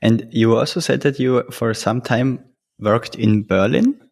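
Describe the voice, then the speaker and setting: A man with an average-pitched voice hosts an interview podcast. He puts his questions casually, in halting phrases, often searching for the wording as he goes. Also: creaky, darkish tone.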